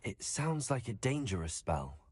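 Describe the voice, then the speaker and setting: A man's voice: medium pitch, big pitch swings, measured pace, darkish tone, slightly rough. A man acting a polite, curious young student wizard in a video game, with a courteous, earnest manner.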